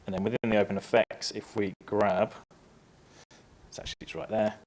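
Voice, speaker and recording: A man presenting a casual, upbeat software tutorial on a conference stage, stepping through each click. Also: on the low side, flat delivery, moderate pace, poor audio. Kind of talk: live software tutorial talk.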